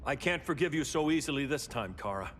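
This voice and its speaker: Actor as a stern, embittered superhuman man, widely swinging pitch. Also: lively intonation, brisk, noisy background, close to an everyday voice. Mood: stern, accusing. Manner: stern, accusatory, dramatic delivery. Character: embittered, grieving superhuman man turned hardline tyrant. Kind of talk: cinematic video game acting. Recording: loud orchestral music behind the voice.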